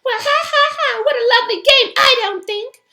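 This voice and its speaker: A woman voicing a miserable, self-pitying ghost girl with a shrill wail, theatrical and sulky, in a storybook read-aloud. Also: moderate pace, echoey room, noisy background. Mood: shrieking, sarcastic. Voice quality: shrill, wailing. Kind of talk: children's novel read-aloud.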